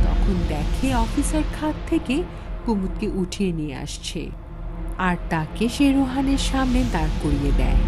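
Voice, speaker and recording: A woman narrating a scripted horror story in a dramatic storytelling style. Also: mid-range voice.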